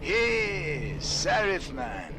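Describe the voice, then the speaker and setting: Sly cocky hacker, highish, unhurried, taunting game delivery, clear background noise. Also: male voice, sing-song, heavily acted. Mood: smug, taunting. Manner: taunting, drawn-out, breezy. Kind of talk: video game voice acting. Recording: noisy vehicle ambience.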